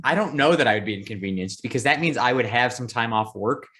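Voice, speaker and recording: A man's voice: mid-range voice, fast talker, a bit bright. Laid-back podcast guy, jokey, swears a lot. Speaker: podcast co-host. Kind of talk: casual chat.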